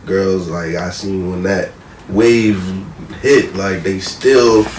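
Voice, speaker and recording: Low-voiced American man, slangy and echoey. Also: steady tone, takes it slowly. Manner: slangy, profane, repetitive verbal tags. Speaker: podcast panelist. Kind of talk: casual opinionated chat. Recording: roomy, distant mic, faint noise.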